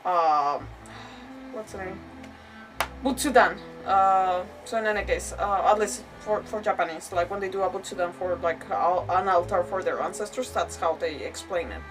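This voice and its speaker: A woman's voice: on the low side, normal speed, far from the mic, over music, poor audio. A woman live-streaming a game, commenting in an informal, reactive way and rambling through her explanations. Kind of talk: casual live let's-play commentary.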